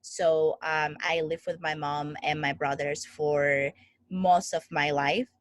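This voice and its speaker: A woman's voice: sits low, little pitch movement, takes it slowly. A young woman from the Dominican Republic, an interview guest telling her life story in an earnest, grateful way. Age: young adult. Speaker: guest, student founder.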